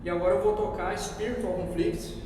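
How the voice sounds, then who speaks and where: A young Brazilian man with a southern Brazilian accent, a guitarist introducing his pieces at a concert in a relaxed, rambling way. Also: sits high, normal speed. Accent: Southern Brazilian (Rio Grande do Sul). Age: young adult. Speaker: guitarist and composer. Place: concert hall. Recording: echoey hall, distant mic.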